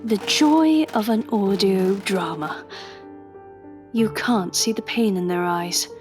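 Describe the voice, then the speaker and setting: A mid-pitched female voice from an actor narrates as an undercover police agent in an audio drama. She is wry, confiding and motherly, taking her time over soft music. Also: slow pace, natural voice. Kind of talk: scripted first-person drama narration.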